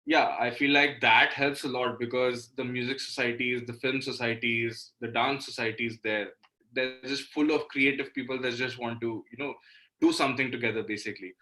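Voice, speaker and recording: Bright-voiced Indian man chatting casually. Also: mid-range voice, steady tone, moderate pace, rough recording. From Delhi, India. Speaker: guest, indie musician. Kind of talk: casual interview talk.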